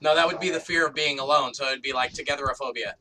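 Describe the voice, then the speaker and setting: A man's voice: mid-range voice, steady tone, fast talker, bright, forceful tone. A man in casual joking group chat, wry and foul-mouthed. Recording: distant mic, clearly noisy background.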